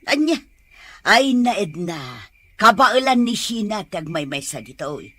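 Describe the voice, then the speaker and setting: A woman's voice: medium pitch, big pitch swings, unhurried, a bit bright, dry recording. A woman playing an older grandmother fond of drink and dancing, who talks in a teasing drawl in an Ilocano comedy-drama. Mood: grumbling.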